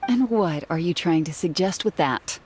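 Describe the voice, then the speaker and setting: A woman's voice: fairly low pitch, animated, moderate pace, a little mellow, a little hiss or hum, natural voice. A woman playing a sly, haughty suspect in a video game, taunting and condescending as she feigns innocence. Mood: guarded, indignant. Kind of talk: scripted video game dialogue. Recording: music behind the voice.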